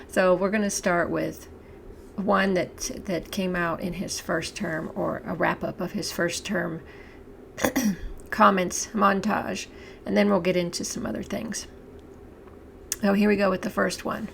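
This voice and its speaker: Hoarse, gravelly-voiced woman. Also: lowish voice, a little hiss or hum. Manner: chatty, halting, with frequent restarts and laughs. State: hoarse, losing her voice, clearing her throat. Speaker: channel host. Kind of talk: casual talk to camera.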